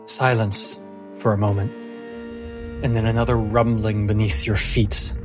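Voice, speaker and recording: A man narrating a fantasy tabletop game as game master, in a deliberate, dramatic way with pauses. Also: fairly low pitch. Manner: slow, deliberate, pausing phrasing. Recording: music playing behind the voice. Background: music.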